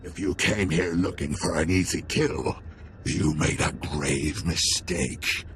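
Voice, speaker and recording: An actor playing a proud, menacing alien ex-soldier villain in a video game, gravelly, taunting and boastful. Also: male voice, very deep voice, slow pace, fairly bright tone, slight background noise, heavily acted. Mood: proud menace.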